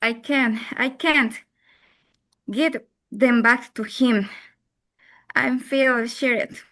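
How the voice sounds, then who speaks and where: A woman's voice: a little high, fairly even intonation, extremely slow, bright, forceful tone, heavily acted. A woman playing a terrified, ashamed wife, weak and haunted, moaning and repeating her words in a drama. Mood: anguished, ashamed.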